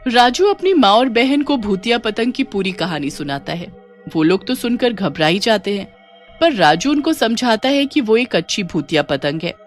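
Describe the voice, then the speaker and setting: A woman's voice: mid-range voice, expressive, moderate pace. A woman narrating a scripted children's ghost story as a storyteller. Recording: music behind the voice.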